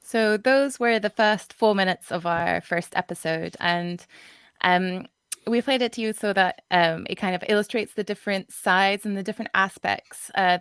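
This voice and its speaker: A woman's voice: medium pitch, fairly bright tone, no echo. A woman moderating a livestreamed panel over a video call, talking casually and hesitantly with many fillers. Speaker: podcast co-host and event moderator.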